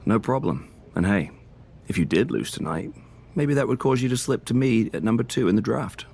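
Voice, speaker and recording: A man's voice: mid-range voice, animated, normal speed, vocal fry, a little mellow, slight background noise. A man voicing a male wrestler in a backstage chat who is friendly but needling. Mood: sly. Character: sly male wrestler scheming over a draft pick. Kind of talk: backstage conversation.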